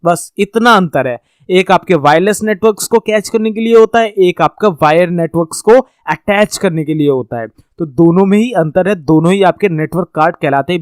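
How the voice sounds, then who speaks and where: Indian guy doing a tech tutorial, kinda high voice, explains stuff step by step and repeats himself, dry sound no echo. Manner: informal, repetitive step-by-step explaining with check-in tags. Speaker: instructor. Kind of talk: explanatory tech lesson with slides, addressing learners.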